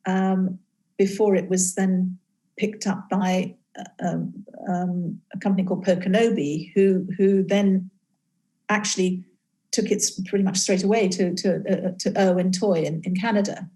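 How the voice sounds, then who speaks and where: A woman who grew up in East Africa, a game inventor talking as a guest in a casual interview, halting with many restarts and laughing often. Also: mid-range voice, dark tone.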